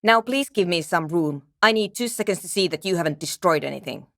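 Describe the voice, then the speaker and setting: Game programmer: female, lowish pitch, brusque and world-weary, dry studio sound. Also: expressive, fairly quick, creaky, fairly bright tone, no room sound. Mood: curt, dismissive. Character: curt, skeptical, world-weary woman programmer absorbed in research. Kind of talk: video game character dialogue.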